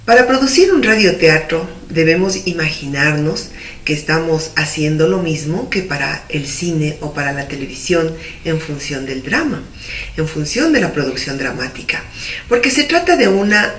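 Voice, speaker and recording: A woman's voice: low-pitched, animated, a little slow, fairly bright tone. A woman giving a methodical, didactic explanation in an instructional style. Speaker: radio drama producer giving a tutorial. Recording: roomy, distant mic, faint noise.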